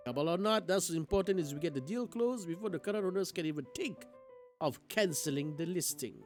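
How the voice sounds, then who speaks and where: Guy streamer doing dramatic visual novel reading, really high animated voice, some music behind. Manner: expressive, theatrical, excitable. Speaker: game streamer. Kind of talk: livestream playthrough, dramatic read-aloud of visual novel narration. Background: game music.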